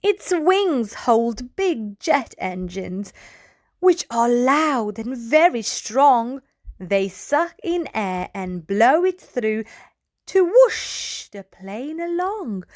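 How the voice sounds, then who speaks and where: A woman with a high voice reads a children's storybook aloud. Her delivery is slow and lively, swinging widely in pitch, as she speaks playfully to small listeners. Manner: addresses small children, playful sound effects. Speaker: children's read-aloud host.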